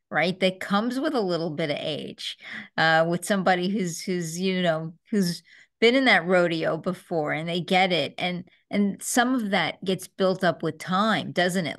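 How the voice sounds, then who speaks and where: A woman's voice: on the low side, lively intonation, a little slow, fairly bright tone. A woman hosting a podcast in a casual, conversational interview style. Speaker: podcast host.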